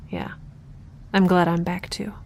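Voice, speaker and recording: A woman's voice: on the low side, gravelly, darkish tone, a little hiss or hum, full character voice. A woman playing an overwhelmed young scientist stranded in space, confiding a wry, rambling log in an audio drama. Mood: tired, resigned. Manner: wry, rambling, self-deprecating.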